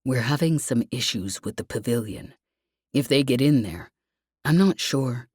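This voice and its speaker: A woman voicing a tense man who keeps a measured, controlled calm in an audiobook space adventure. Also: a bass voice, husky, dry recording, clean studio sound, full character voice.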